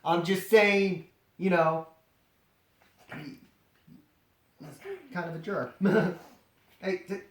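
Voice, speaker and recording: A storyteller reading aloud comedically, with animated, sarcastic improvised asides. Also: mid-range voice, very slow, long pauses, a little hiss or hum. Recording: roomy, echoing sound.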